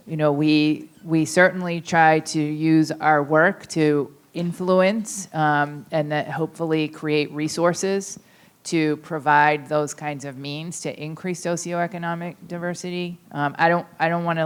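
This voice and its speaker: A woman's voice: deep voice, smooth. A woman moderating a panel discussion, hedging and hesitant with fillers.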